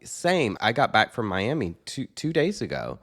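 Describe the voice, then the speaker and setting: A man's voice: medium pitch, wildly swooping pitch, moderate pace, a bit bright. A middle-aged man co-hosting a podcast, chatting in an easygoing, friendly way. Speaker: podcast co-host. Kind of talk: casual chat.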